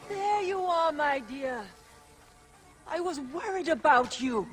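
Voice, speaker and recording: A woman's voice: sits high, animated, slow pace, soft background music. A woman playing an overbearing, cooing wife in a comic video game scene. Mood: cooing, possessive.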